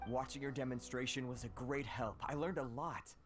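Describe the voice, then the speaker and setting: A man's voice: mid-range voice, fairly even intonation, moderate pace, music in the background, close to an everyday voice. A man playing an earnest young-hearted resistance recruit. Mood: grateful, determined. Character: earnest married everyday citizen turned resistance recruit. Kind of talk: video game dialogue.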